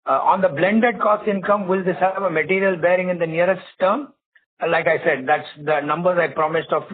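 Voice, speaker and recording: A man speaking as a bank executive on an earnings call, answering in a measured, halting way. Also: on the high side, breathy edge. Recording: narrow tinny phone conference line.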